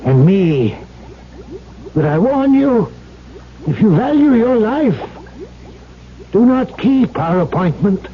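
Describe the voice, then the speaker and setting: Slow, high-voiced old elixir maker. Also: male voice, big pitch swings, exaggerated voice. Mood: grave warning. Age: older. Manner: slow, portentous, solemn. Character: weary old male scholar of forbidden elixirs, ominous and grave. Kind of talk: 1970s radio drama acting. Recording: dull, hissy vintage radio broadcast.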